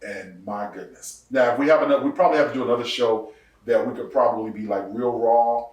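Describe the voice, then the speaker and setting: A man in his forties, a pastor, telling his testimony in a casual podcast interview, laughing often and jumping up in pitch for punchlines. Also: quick pace, recorded from a distance. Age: 40s. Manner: animated storyteller, laughs often, rises sharply for punchlines. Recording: echoey room, voice off-mic.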